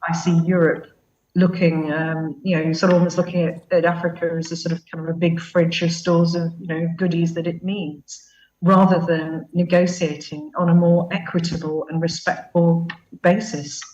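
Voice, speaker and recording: A woman speaking as a guest commentator on a news panel, measured and thoughtful with many fillers. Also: on the low side, level tone, a little mellow. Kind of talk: panel interview answer. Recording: dull, echoey sound from a distant mic.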